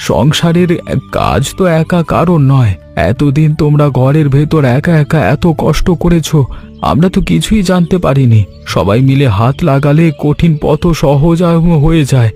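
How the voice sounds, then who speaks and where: পুরুষ কণ্ঠে আন্তরিক গ্রাম্য স্বামী, একটু চড়া কোমল গলা. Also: male voice, on the high side, expressive, normal speed, a little mellow, soft background music. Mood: reflective, sincere. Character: earnest, caring village husband and farmer turned snack seller. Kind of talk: Bengali animated moral story dialogue.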